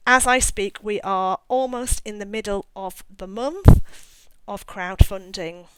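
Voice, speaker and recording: A woman's voice: mid-range voice, slow pace, smooth, dry recording, poor audio. A middle-aged woman talking casually as an author about her own work, in a chatty, rambling way with self-mocking asides. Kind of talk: casual talk to camera.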